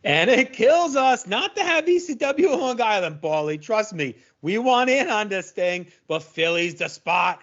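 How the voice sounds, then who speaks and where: A man plays a blunt, eager wrestling insider in a comedic audio play. His voice is high for a man and persuasive. The recording is a little dull. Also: a bit bright, full character voice.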